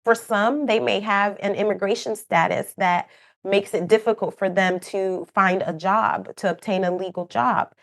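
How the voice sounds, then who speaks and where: A woman's voice: mid-range voice, fairly even intonation, moderate pace, vocal fry. A woman from Kenya with a Kenyan accent, whose first language is not English, answering as a guest expert in a podcast interview in an earnest, explanatory way. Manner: earnest, explanatory, with light laughs and asides. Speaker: program manager, guest expert.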